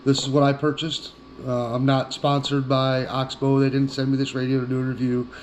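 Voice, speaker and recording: A man's voice: medium pitch, little pitch movement, brisk. Male product reviewer, folksy and plain-spoken, mid-range voice, casual hands-on delivery, faint noise behind.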